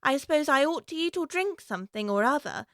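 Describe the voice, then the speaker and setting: A curious little girl in an audio drama, high clear bright voice, lively, dry close mic. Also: brisk, no echo. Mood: musing. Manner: earnest, talking to herself.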